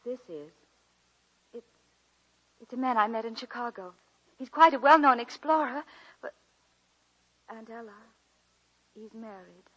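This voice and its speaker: An actress playing a romantic, impulsive young grandniece with dramatic earnestness, in 1940s radio drama style. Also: female voice, on the high side, measured pace, pauses often. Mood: playful, then confessing. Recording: dull 1940s radio broadcast recording.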